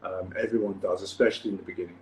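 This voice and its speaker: A man from the UK, a freediving coach, hosting a casual podcast interview in a relaxed, encouraging way, often repeating words as he starts a thought. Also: low voice, very fast, roomy sound, a little hiss or hum, rough recording. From United Kingdom.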